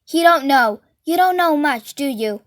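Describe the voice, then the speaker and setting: A woman voicing a gruff, scornful tattooed tough man in a storybook, curt and sneering. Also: sits high, expressive, unhurried.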